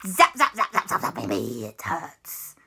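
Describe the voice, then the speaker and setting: A blustering old undead sea captain from a children's story, acted out by a woman. The speech is archaic pirate talk, drawn out with menace. The delivery is very slow and forceful, swooping between growly threats and loud complaints. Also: deep voice, wildly swooping pitch, creaky, husky, pitched below the performer's own voice, full character voice. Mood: irritated threats.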